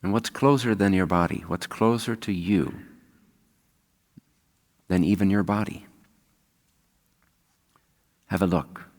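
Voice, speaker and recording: A man leading a guided meditation as a spiritual teacher, speaking calmly and unhurriedly with long pauses. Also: low voice. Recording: long hall-like reverb.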